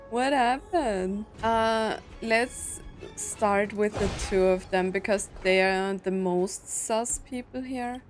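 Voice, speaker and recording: A woman gives casual gaming commentary at an unhurried pace, with a medium-pitched voice. She is chatty and thinks out loud as she plays, with faint music behind her. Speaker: gaming streamer.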